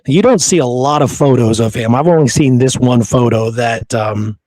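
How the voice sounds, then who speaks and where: A man's voice: mid-range voice, normal speed, a little mellow, dry recording. A man hosting a podcast, talking through history in an informal, conversational way. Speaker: podcast host. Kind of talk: casual history discussion.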